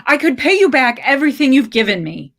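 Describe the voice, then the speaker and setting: A woman's voice: high-pitched, sing-song, fairly quick, creaky, fairly bright tone, voice pushed up, exaggerated voice. A woman reading a short story, voicing a desperate young kept woman who pleads emphatically, drawing out her words. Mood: desperate pleading.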